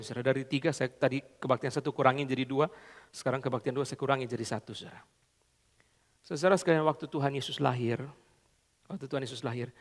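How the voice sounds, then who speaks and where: A man preaching a sermon, swinging between loud high emphasis and low quiet asides, often slipping into English and casual slang. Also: big-room sound. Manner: repeats "saudara" constantly, mixes in English phrases and colloquial Jakarta slang. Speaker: pastor.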